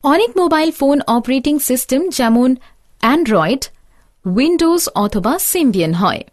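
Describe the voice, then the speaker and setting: Woman doing scripted how-to narration, high voice, really animated pitch, teachy step by step. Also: takes it slowly. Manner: didactic, step-by-step. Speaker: instructor narrator.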